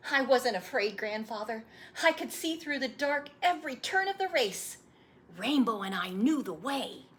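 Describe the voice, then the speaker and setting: A woman's voice: a little high, big pitch swings, moderate pace, fairly bright tone. A woman voicing an eager, proud young boy with breathless, earnest emphasis in a storybook read-aloud.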